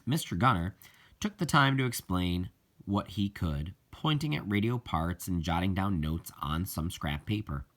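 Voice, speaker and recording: A man reading a children's novel aloud as scripted narration, with deliberate, halting phrasing. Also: deep voice, lively intonation, a bit bright. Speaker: audiobook reader.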